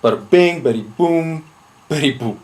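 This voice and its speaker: A man's voice: fairly high pitch, expressive, normal speed, a little mellow, natural voice. A man playing a rambling, self-important mob consigliere in a blustering comic monologue. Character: loud, rambling, shady mobster consigliere with many nicknames.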